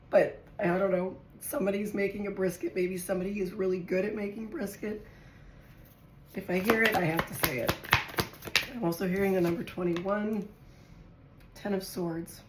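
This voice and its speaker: A woman with a hoarse, raspy voice from a virus, chatting casually as she reads tarot for her viewers and thinks aloud while drawing cards. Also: medium pitch. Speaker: tarot reader. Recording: echoey room with faint noise.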